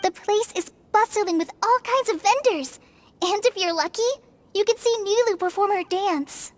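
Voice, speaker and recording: A woman voicing a shy, earnest young woman ranger in a video game, speaking gently and encouragingly. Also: very high-pitched, moderate pace, a little hiss or hum.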